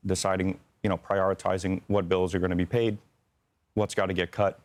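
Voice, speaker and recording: A man working as an air traffic controller answers news interview questions in a plain, matter-of-fact, halting way. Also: low voice, level tone.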